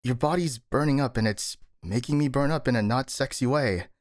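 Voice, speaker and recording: A man's voice: medium pitch, brisk, no echo, clean studio sound, lightly acted. A man playing a skeptical, worrying captured master ninja, sighing and hesitant, in a scripted ASMR audio roleplay. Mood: flustered.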